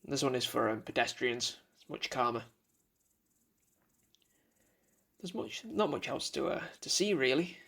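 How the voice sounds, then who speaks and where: An actor playing a pensive, solitary young man in a radio drama monologue, speaking in a reflective, halting, poetic way with a creaky voice. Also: mid-range voice, lively intonation, bright, forceful tone, exaggerated voice. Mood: matter-of-fact.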